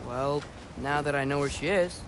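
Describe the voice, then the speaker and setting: A man's voice: on the high side, moderate pace, lightly acted. A young man voicing a stubborn, brave teenage boy adventurer in a Norse myth video game, defiant and earnest. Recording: game sound effects and ambient noise behind. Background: game ambience and effects.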